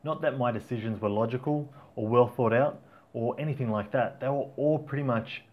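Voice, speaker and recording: A man's voice: medium pitch, a little fast, lo-fi sound. A middle-aged man from Tasmania with an Australian accent, narrating his own life story as an audiobook in a plain, reflective way with small restarts. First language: Auslan.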